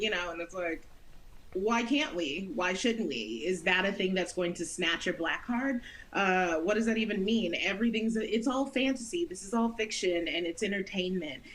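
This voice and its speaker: A woman's voice: mid-range voice, fairly bright tone, a little hiss or hum, rough recording. A woman in her thirties from the United States, an actress talking earnestly in an interview and laughing often. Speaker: guest actress. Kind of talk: interview conversation.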